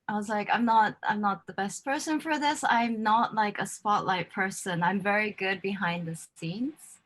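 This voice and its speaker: A woman hosting a relaxed live chat show over a video call. She talks in a loose, chatty way, laughs easily and fills her sentences with "like" and "you know". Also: mid-range voice. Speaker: host. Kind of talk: casual livestream interview.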